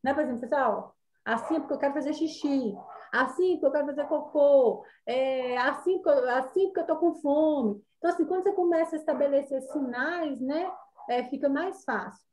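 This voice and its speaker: A Brazilian woman teaches an online class in a high, mellow voice. She explains chattily with many fillers, heard over a video call mic. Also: fairly quick, slight background noise, rough recording.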